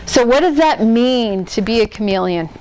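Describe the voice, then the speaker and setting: A woman running a management training workshop, talking in a lively way, asking the audience questions and teasing them by name. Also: on the high side, normal speed, noisy background. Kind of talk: interactive management training talk. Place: training room.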